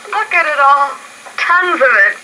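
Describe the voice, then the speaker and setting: A woman's voice: high voice, measured pace. An actress playing an uneasy adult woman, a survivor and wife, in a 1950s radio drama, worried and hesitant. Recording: hissy old radio broadcast.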